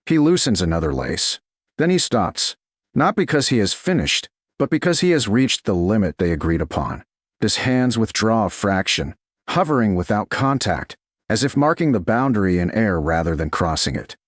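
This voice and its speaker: Low guy narrating a romance, lots of pitch movement, dry close sound. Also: very animated, no room sound. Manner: slow, hushed, deliberate reading.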